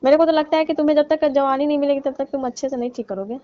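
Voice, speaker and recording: A woman playing a flirtatious, teasing married aunty in a comedy phone-call skit, talking coyly and complaining in a drawn-out way. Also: high voice, fairly even intonation, warm tone, close to an everyday voice. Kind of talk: staged intimate phone chat in colloquial Hindi. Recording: dull, lo-fi phone-call audio.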